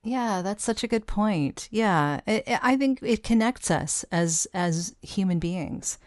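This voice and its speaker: A woman's voice: medium pitch, lively intonation, slow, dry recording, studio quality. A woman speaking as a podcast host in a conversational interview style.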